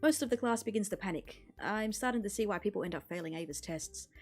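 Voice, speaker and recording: A woman streamer reading a visual novel aloud in an expressive storytelling manner. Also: medium pitch, fast. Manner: expressive storytelling with character voices. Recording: music behind the voice. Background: music.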